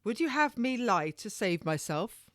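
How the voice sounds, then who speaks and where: A woman voicing a defiant, quick-witted woman under questioning in a historical novel, with formal, indignant, archaic speech. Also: mid-range voice, sing-song, no echo, pitched above the performer's own voice.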